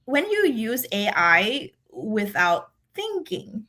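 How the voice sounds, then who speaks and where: Thai-accented woman guest, bright tone, earnest, laughs easily. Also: on the high side, very animated, slow. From Thailand. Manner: earnest and reflective, laughs often, repeats words while thinking. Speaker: guest, decision scientist and speaker. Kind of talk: podcast interview.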